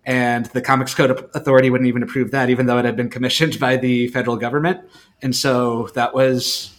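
Mid-pitched man, earnest podcast co-host. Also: flat delivery, fairly quick. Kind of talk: casual podcast chat, recommending books.

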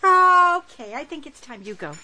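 A woman's voice: high voice, very animated, normal speed, sharp tone, exaggerated voice. A woman playing a flustered, sardonic young woman on a date in a romantic comedy audio play, stammering, apologetic and dry. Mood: irritated.

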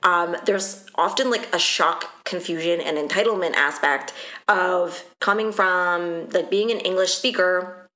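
Chatty low-voiced woman from Ontario. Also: unhurried, bright, forceful tone. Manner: self-deprecating, chatty, many fillers. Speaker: podcast co-host. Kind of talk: casual political chat.

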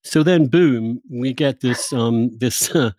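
A man's voice: mid-range voice, a little mellow, no room sound. A man hosting a conversational interview, candid and informal, often repeating words as he forms his thoughts. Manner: halting, repeats words while forming questions. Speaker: podcast host, interviewer. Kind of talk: conversational long-form interview.

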